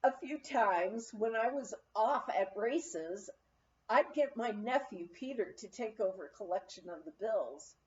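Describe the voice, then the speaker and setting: A woman reading a memoir aloud as an audiobook narrator, telling it in an expressive storytelling manner. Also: medium pitch, unhurried, highs cut off. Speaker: audiobook reader.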